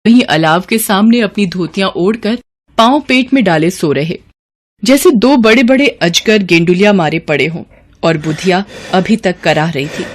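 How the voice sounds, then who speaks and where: A woman reading scripted literary story narration as the narrator. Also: fairly low pitch.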